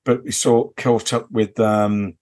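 A man with a fairly low voice talks informally, as if to a friend on a podcast. He feels his way through sentences in a hesitant, rambling fashion, padding them with small filler phrases. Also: flat delivery, takes it slowly, darkish tone. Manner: hesitant, rambling delivery full of "you know" and "kind of". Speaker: podcast co-host. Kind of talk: casual off-the-record chat, recounting a convention visit.

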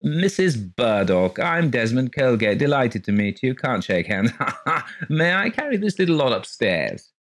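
A man voicing a short, very fat middle-aged man with a startlingly high, shrill voice. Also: big pitch swings, a little slow, fairly bright tone. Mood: jovial.

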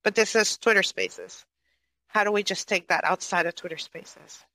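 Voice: mid-range voice, steady tone, moderate pace, creaky, sharp tone, dry recording. A moderator from Venezuela in a casual online audio chat, asking a long, rambling question and often tagging on "right?".

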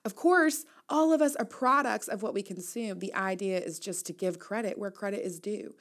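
A woman's voice: mid-range voice, normal speed, a bit bright. A woman hosting an audio newsletter, reading her casual commentary aloud in a wry, playful, conversational way.

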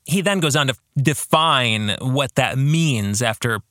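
A man hosting a reflective book-club podcast as its author, speaking in deliberate phrases and addressing the listener directly. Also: mid-range voice, expressive, bright, forceful tone, dry recording, clean studio sound. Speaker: podcast host and author discussing his own book.

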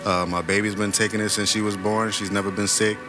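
Male guest from Florida, very low voice, plainspoken self-assured pitchman, clear music underneath. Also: level tone, quick pace. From Florida, United States. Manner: confident, boastful, plainspoken. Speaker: guest, sea moss vendor. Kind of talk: casual sales pitch and chat. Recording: music playing behind the voice.

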